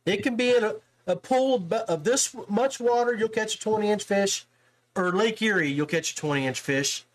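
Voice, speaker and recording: High-pitched man hosting a casual podcast. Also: normal speed, poor audio. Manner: chummy, addresses listeners and guest as guys, man, brother. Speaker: podcast host and interviewer. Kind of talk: casual sports podcast interview.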